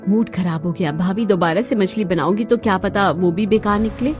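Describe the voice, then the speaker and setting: A woman's voice: medium pitch, brisk, music in the background, close to an everyday voice. A woman voicing a young, suspicious sister-in-law in a Hindi cartoon story, whiny and nagging. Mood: sulky.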